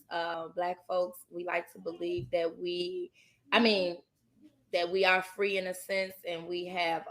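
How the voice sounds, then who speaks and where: Halting American woman, casual podcast chat. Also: fairly low pitch, a bit bright. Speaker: podcast co-host.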